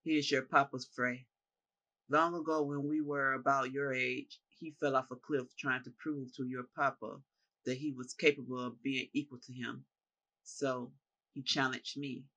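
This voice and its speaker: A narrator voicing a seasoned male martial arts master who talks in a low, steady, explaining way. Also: moderate pace, poor audio. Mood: recounting.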